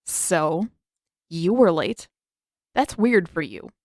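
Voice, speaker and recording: A woman's voice: fairly low pitch, big pitch swings, takes it slowly, darkish tone, no echo, exaggerated voice. A woman puts on a cheeky teenage boy for an audio story. He is smug and nosy, with a teasing delivery, recorded dry and close.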